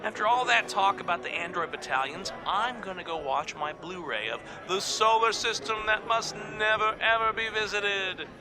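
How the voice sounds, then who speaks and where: A man's voice: sits high, moderate pace, hardly any pauses, a crowd in the background, lightly acted, rough recording. A man playing a wisecracking, flightless bird sidekick, flippant and jokey, in an audio drama. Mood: breezy.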